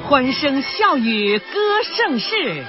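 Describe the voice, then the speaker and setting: A woman's voice: high-pitched, sing-song, takes it slowly. A woman announcing a radio promo in a grand, festive style. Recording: dull broadcast promo under loud music.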